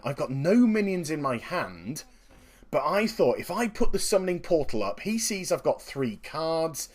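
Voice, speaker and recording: A man with a slightly croaky voice, giving upbeat, chatty commentary on his own card game match and explaining each move. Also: medium pitch. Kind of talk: gameplay commentary.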